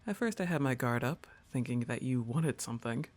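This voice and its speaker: A woman playing a laid-back, teasing young goth roommate in an intimate ASMR roleplay, talking in an unhurried, conversational way to her crush. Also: very deep voice, lively intonation, a little mellow, faint music behind. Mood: soft, teasing, affectionate.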